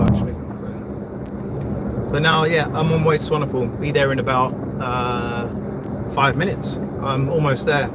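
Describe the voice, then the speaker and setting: A middle-aged man, chatting casually and swearing on a live stream while driving, rambling and full of fillers. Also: mid-range voice. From London, England. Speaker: livestreaming vlogger. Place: in a vehicle on city roads near an airport. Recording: loud road noise from a moving car. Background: loud traffic and road noise.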